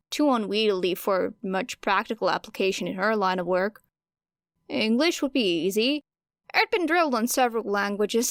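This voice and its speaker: A woman reading fan fiction as an audiobook narrator, with an expressive, wry and dramatic delivery. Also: a little high, dry recording, studio quality.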